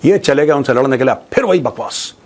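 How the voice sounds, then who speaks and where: A man's voice: mid-range voice, expressive, fast, darkish tone. A man giving an informal, opinionated news monologue, mocking and colloquial, repeating phrases for emphasis and mixing in English. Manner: mocking, colloquial, rhetorical questions, mixes in English. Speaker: host of a news commentary show. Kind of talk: opinionated informal monologue to viewers on current affairs.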